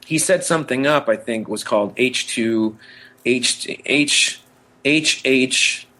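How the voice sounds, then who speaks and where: A man's voice: mid-range voice, slow pace, pushed and bright, low-quality recording. A man co-hosting a podcast in casual, enthusiastic pop-culture chat, rambling and often interrupting himself. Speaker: podcast co-host.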